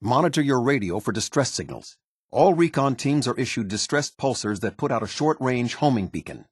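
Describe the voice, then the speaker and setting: A man voicing a stern, disciplined military captain in a video game, with a husky voice and a clipped, commanding, formal delivery. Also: medium pitch, lively intonation, fairly quick, dry recording, studio quality. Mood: brisk, authoritative. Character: stern male military captain, disciplined, exacting, loyal to his order.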